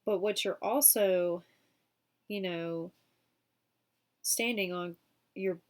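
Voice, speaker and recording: A woman's voice: fairly low pitch, slow pace, a little mellow. A woman with a cold doing a casual weekly tarot reading, chatty and full of fillers. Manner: chatty, many fillers like um and you know. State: sick, sniffling. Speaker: tarot reader.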